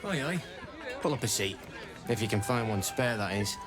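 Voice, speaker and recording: An actor with a British accent playing a chatty, cheerfully morbid, opportunistic tavern keeper in a video game. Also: male voice, fairly low pitch, animated, moderate pace, natural voice. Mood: wry, chatty. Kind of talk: video game character acting. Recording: music and pub crowd behind.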